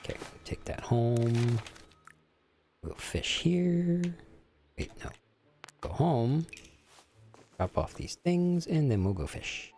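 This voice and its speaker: A man's voice: medium pitch, sing-song, takes it slowly, pauses often, dark tone. A man in his forties chatting casually and crudely while streaming a video game, joking with his viewers in mock-serious banter. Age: 40s. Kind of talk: casual gaming stream chat.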